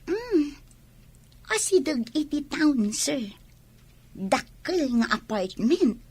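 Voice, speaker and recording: A woman's voice: high voice, very animated, extremely slow, darkish tone, dry recording, full character voice. A woman with an Ilocano accent playing a bold, scheming young college girl in a radio comedy drama. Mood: innocently polite.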